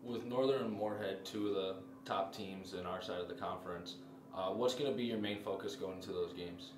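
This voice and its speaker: A man's voice: deep voice, a little fast. A man interviewing athletes and a coach after a game, asking plain questions with fillers. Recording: roomy, distant, with background noise.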